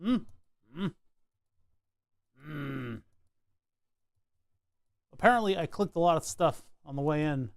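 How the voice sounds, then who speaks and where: A man's voice: fairly high pitch, lively intonation, slow pace, pauses often, no room sound, studio quality. A man streaming live, chatting casually while he designs a circuit board, thinking aloud and often restarting his sentences. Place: home computer desk.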